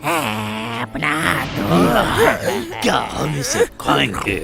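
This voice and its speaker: A man voicing a sinister henchman with a gravelly, creaky voice in a cartoon dub. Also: sits high, wildly swooping pitch, very slow, over loud music, heavily acted. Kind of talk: Hindi cartoon dub acting.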